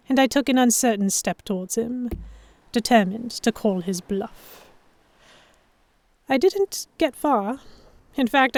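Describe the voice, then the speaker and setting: A woman playing a stubborn, flustered young noblewoman, narrating her own story in a measured, expressive audio drama style. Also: fairly high pitch, no echo, close to an everyday voice.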